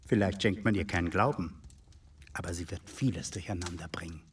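A man acting in a radio drama as a bitter, stubborn informer, husky and sardonic. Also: low-pitched, fairly quick, far from the mic, lightly acted. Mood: resigned defiance. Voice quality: husky, raspy. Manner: sardonic, defiant. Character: bitter, defiant male informer, cornered yet stubborn.

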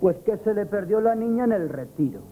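An actor playing a cheeky, chatty grocery assistant in a radio comedy, telling things wryly in a Spanish accent. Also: male voice, high-pitched, expressive, brisk, dark tone. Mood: wry, gossipy. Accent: Spain. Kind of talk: comic radio theatre acting. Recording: muffled noisy old radio recording.

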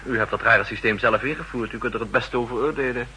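An actor playing an eager, earnest young intelligence officer with some stubbornness, in the style of a 1960s radio drama. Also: male voice, medium pitch, fast, sharp tone, a little hiss or hum, rough recording. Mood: doubtful, stubborn. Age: young adult.

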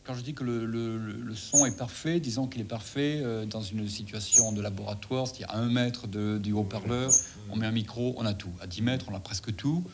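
A man being interviewed, explaining off the cuff in a halting way, searching for words. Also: lowish voice, steady tone, noisy background.

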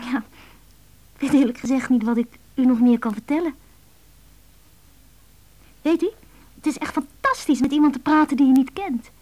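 Shy young-sounding woman, high voice, soft, stammering, hesitant, naturalistic radio play. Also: measured pace, pauses often, poor audio. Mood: shy, excited. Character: shy, hesitant woman phoning a stranger's answering machine. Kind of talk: naturalistic Dutch radio drama acting.

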